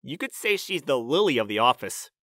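A woman plays a nervous young office man in a manga dub. The voice is forceful and dry, swinging widely as he gets flustered and explains himself in earnest, self-deprecating narration. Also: very deep voice, sing-song, fairly quick, dry recording, exaggerated voice. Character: flustered, timid twenty-nine-year-old male office worker, narrating his life.

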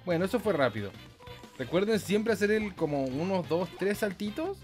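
A man's voice: sits high, very animated, normal speed, darkish tone. A man with a Chilean Spanish accent giving casual, playful gameplay commentary, joking and swearing as he goes. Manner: playful, joking, sweary, with silly sound effects and singsong. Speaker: gaming YouTuber. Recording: game music behind the voice. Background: video game music.

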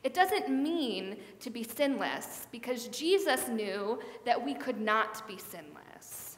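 A middle-aged woman pastor preaches in a relaxed, conversational way, with a slightly high voice. She wears a headset mic in a big church sanctuary. Her words ring out with a long, roomy echo. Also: slow pace, slight background noise. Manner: conversational preaching with hand gestures. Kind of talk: sermon. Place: large church sanctuary, indoors. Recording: echoey hall with long reverb.

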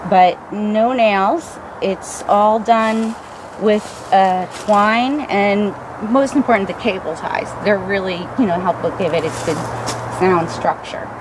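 A woman's voice: medium pitch, slow pace. A middle-aged woman showing off the hut she built in the woods, chatting casually with lots of ums and likes. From New Jersey. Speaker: bushcraft builder giving a tour. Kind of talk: casual show-and-tell. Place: outdoors in woods, inside and beside a stick hut. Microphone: camera held by another person. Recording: outdoor recording with steady background noise.

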